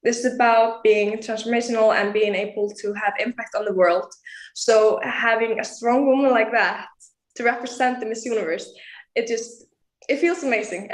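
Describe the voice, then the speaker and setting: A woman's voice: a little high, reverberant, poor audio. An eighteen-year-old woman from Iceland, a pageant contestant answering interview questions earnestly and laughing often. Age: young adult.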